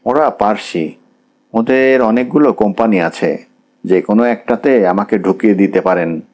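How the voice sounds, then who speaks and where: A man's voice: on the low side, normal speed, a little mellow, close to an everyday voice. A man voicing a proud, defensive young husband in an audiobook story, insistent and argumentative.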